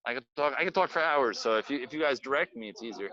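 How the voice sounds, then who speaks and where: A man's voice: medium pitch, lively intonation, fast, bright, forceful tone. A man guiding a live virtual walking tour outdoors, chatty and rambling, talking into a phone. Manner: rambling, chatty, self-interrupting. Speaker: tour guide. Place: outdoor city street and cafe. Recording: dull, lo-fi video call audio.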